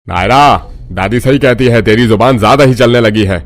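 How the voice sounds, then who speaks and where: A man's voice: medium pitch, normal speed, dry recording, lightly acted. A man voicing a domineering, angry middle-aged father in a family cartoon, commanding and scolding. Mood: stern. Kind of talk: Hindi cartoon family drama acting.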